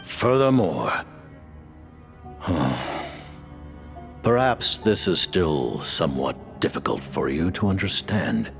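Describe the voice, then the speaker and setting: An actor playing an ancient, commanding male leader who speaks in slow, measured pronouncements in a game cutscene. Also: low voice, big pitch swings, creaky, soft background music, full character voice. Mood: solemn. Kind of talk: video game cutscene voice acting.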